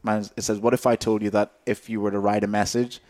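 A man's voice: on the low side, little pitch movement, quick pace, darkish tone. A young man hosting a podcast, chatting casually in a rambling, self-interrupting, joking way. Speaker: podcast host. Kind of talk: casual chat.

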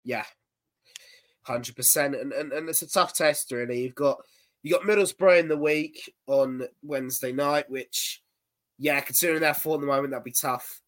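A man's voice: medium pitch, moderate pace, a bit bright. A young man co-hosting a casual football podcast, rambling along and repeating words as he restarts his sentences. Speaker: podcast co-host.

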